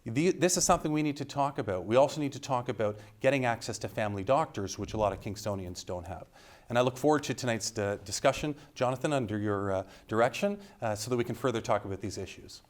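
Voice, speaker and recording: A man who is the sitting member of parliament, giving an earnest debate opening statement with frequent halting pauses. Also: medium pitch. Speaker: incumbent member of parliament, candidate.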